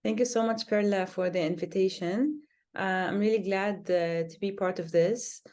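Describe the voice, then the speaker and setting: Earnest young woman with many pauses. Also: mid-range voice, fairly even intonation, darkish tone. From Lebanon. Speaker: interview guest, social worker. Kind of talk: conversational interview answers.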